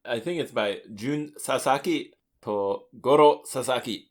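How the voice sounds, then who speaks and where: A man's voice: medium pitch, a little slow. A man co-hosting a podcast, talking casually about board games in a playful, joking way. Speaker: podcast co-host.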